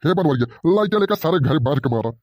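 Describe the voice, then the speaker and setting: A man's voice: fairly high pitch, expressive, brisk, darkish tone, no echo, studio quality, close to an everyday voice. A man with an eastern Hindi belt accent voicing an angry, scolding villager in a comic cartoon, blustering threats. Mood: furious, threatening. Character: angry male villager threatening to beat a trespassing lover.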